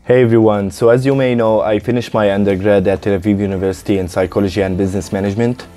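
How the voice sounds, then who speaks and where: Young male vlogger, low and mellow, earnest delivery, faint music under. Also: little pitch movement, fast talker. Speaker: vlogger, Fulbright student. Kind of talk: casual vlog talk to camera. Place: hotel room. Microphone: lapel mic.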